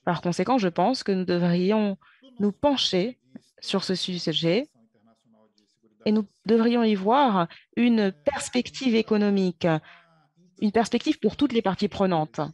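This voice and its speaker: A woman giving a simultaneous interpretation of conference speeches, halting, with pauses and self-corrections. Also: mid-range voice, a little mellow, no echo.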